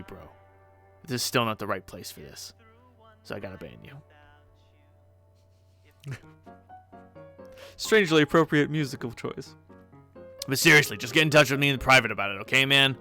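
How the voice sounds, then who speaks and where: A grumpy teenage troll leader, bossy and ranting, swearing in exasperation at everyone. A man acts him out in a bright, tense voice, with faint music in the background. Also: medium pitch.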